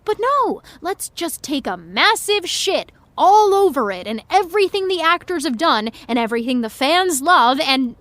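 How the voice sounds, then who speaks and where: A woman's voice: high-pitched, very animated, normal speed, few pauses, fairly bright tone, dry recording. A woman playing a flustered, indignant young actress with an emphatic, exasperated manner, in a naturalistic audio comedy-drama. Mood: indignant ranting.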